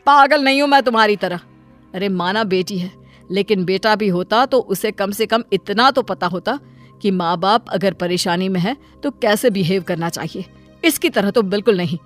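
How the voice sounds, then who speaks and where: A woman's voice: mid-range voice, moderate pace, faint music behind, close to an everyday voice. Woman doing a cartoon dad, middle-aged, stern, scolding, blunt.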